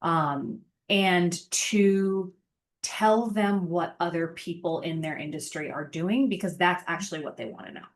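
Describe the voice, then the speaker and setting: A woman in her thirties talks through her career in a relaxed interview. She is chatty and reflective, with fillers scattered through her speech. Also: fairly low pitch, unhurried. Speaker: podcast guest, sales engineering manager. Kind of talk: casual interview conversation.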